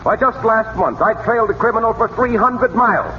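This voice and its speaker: A man's voice: sits high, fairly quick. A man playing a polite, cheery mounted police sergeant in a 1930s radio comedy, with clipped, very proper speech. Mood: earnest. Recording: dull, faintly noisy 1930s radio broadcast.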